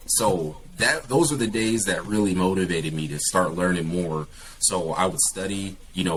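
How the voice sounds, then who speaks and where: A man's voice: sits low, a bit bright, slight background noise, low-quality recording. A young man from California, a guest English teacher, talking casually in an interview with many fillers and restarts.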